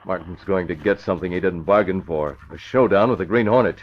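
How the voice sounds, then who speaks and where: A dull vintage radio recording of a resolute crime-fighting hero. The voice is low, decisive and commanding in a classic serial manner. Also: male voice, brisk. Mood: stern. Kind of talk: 1940s radio acting.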